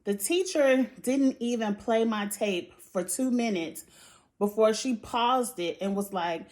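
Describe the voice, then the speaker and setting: A woman with a high-pitched voice giving a casual, outspoken recap of a reality show, with sighs and laughs between points. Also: slow, darkish tone. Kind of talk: casual opinionated chat.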